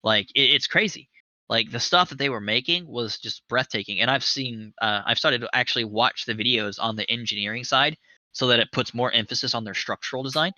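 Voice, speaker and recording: A man's voice: medium pitch, fairly quick, pushed and bright, no room sound. A man in his thirties hosting a livestream chat, talking eagerly and at length, with fillers and swearing for emphasis. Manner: enthusiastic, rambling, swears for emphasis. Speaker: show host. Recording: dry, slightly dull webcast audio.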